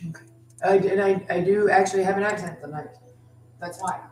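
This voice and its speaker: A woman's voice: medium pitch, fairly even intonation, a little fast, a little mellow, faint music behind. A woman chatting casually on a stream in a rambling way, full of fillers and restarts. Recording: echoey room from a distant mic.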